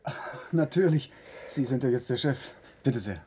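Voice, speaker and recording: An actor playing a proud, callous surgeon in a science-fiction radio play, explaining things in an obliging, breezily matter-of-fact way. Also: male voice, mid-range voice, expressive, normal speed, natural voice. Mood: obliging, explanatory. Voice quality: mellow, soft-edged. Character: proud, casually callous male surgeon at a clinic. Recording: faintly noisy old radio recording.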